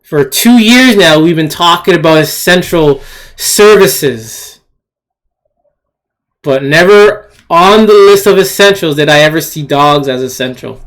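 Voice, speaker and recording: A man's voice: on the high side, expressive, unhurried, a bit bright. A man delivering an unscripted opinion rant, argumentative and scornful, repeating phrases for emphasis. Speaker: commentator hosting an opinion video.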